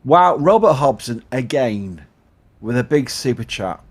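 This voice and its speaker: A chatty man with a Yorkshire accent hosts a live stream, reading out viewer questions and thanking supporters in a relaxed, rambling way. His voice sits at an average pitch. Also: a little slow. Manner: chatty, laughs often, thanks supporters, restarts a lot. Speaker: livestream host. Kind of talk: casual live commentary.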